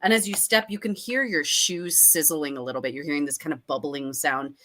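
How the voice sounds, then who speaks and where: Female game master, lowish voice, playful and descriptive, improvised tabletop narration. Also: a little fast, bright, forceful tone. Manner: playful, with dramatic descriptive asides.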